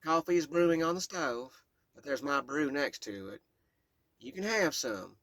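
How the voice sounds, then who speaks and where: A woman's voice: low-pitched, animated, unhurried, dry recording, voice pushed up. A man voicing a tough, proud great-grandmother in her later years, speaking with a Southern Kentucky accent in a blunt, bossy drawl. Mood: commanding. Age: older.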